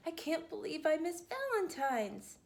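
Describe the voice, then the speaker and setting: A woman's voice: extremely high pitch, big pitch swings, a little slow, a little mellow, voice pushed up, full character voice. A woman voicing a sweet, earnest young girl who worries she has let everyone down, in a storybook read-aloud. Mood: dismayed.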